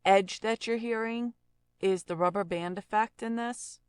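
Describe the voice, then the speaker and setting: A woman narrating a casual software tutorial as an audio instructor, explaining informally and thinking aloud. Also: medium pitch, unhurried, smooth, dry recording.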